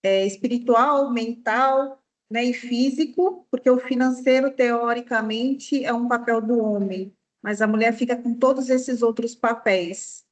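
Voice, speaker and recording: A woman hosts a podcast interview with a somewhat high voice. She talks in a welcoming, conversational way and asks open questions. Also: steady tone, unhurried, few pauses. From São Paulo, Brazil. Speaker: podcast host.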